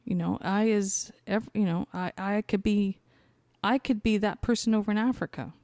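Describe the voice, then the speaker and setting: A woman's voice: mid-range voice, steady tone, moderate pace, darkish tone, no echo. A woman podcast host talking in a casual, rambling spiritual monologue, conversational and thinking aloud as she searches for her words.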